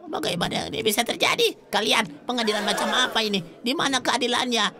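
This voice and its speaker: A man voicing a hardworking poor peasant in a cartoon dub, loud and indignant when he argues. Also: very high voice, lively intonation, quick pace, a crowd in the background. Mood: outraged. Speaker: brother. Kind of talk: animated cartoon dubbing. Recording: noisy dubbed track.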